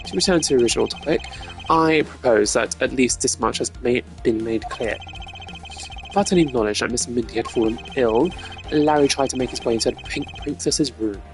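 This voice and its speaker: A man's voice: mid-range voice, a little fast, faint music behind, lightly acted. A man voicing a haughty, precise prosecutor in a video game, formal, pompous and dryly sardonic. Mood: measured, smug.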